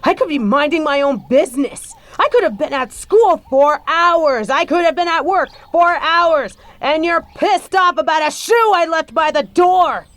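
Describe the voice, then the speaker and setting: A woman's voice: sits high, a little slow, hardly any pauses, fairly bright tone, no room sound. A woman playing a bitter adult daughter confronting her stepfather in an audio roleplay, scathing, profane and confrontational. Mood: accusing, angry. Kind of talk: scripted audio roleplay monologue.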